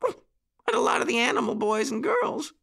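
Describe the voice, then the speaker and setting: Excitable high-pitched little puppy boy. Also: moderate pace, vocal fry, full character voice. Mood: eager. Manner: barking, breathless exclamations. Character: excitable little puppy boys, eager and pleading.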